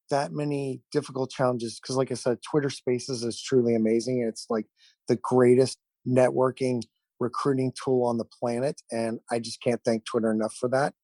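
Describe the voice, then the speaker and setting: A man's voice: fairly high pitch, darkish tone, dry recording. A man giving earnest, enthusiastic interview answers as an event founder, effusive and given to repeating his points. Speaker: event founder, podcast guest.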